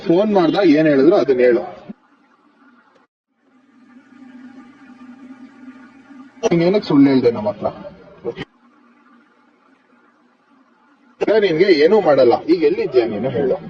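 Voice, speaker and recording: A noisy, muffled phone recording of a man acting as a stern police inspector with a Karnataka accent. He has a fairly high voice and interrogates by repeating questions, moving between fatherly coaxing and threats. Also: lively intonation, rapid-fire, lightly acted. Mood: pressing. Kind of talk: prank phone call. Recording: muffled, distant phone call with loud noise.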